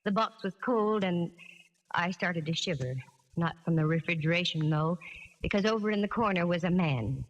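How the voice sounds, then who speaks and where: An actress playing a wisecracking, tough American private eye, narrating in a wry, hard-boiled 1940s radio drama style. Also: female voice, lowish voice, moderate pace, dull audio, lightly acted. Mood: grim, wry. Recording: 1940s radio recording, reverberant, music behind.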